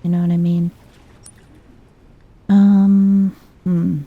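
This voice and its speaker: A soft-spoken woman giving hushed ASMR-style game commentary, musing to herself in an unhurried, breathy way and often dropping into a whisper. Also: fairly low pitch, level tone, no echo, narrow, tinny audio. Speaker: video game streamer, let's player.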